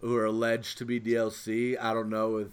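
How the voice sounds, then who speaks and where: A man's voice: fairly low pitch, completely flat delivery, fairly quick, clear, resonant tone. Casual man chatting on a podcast. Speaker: podcast co-host. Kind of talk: casual pop-culture chat.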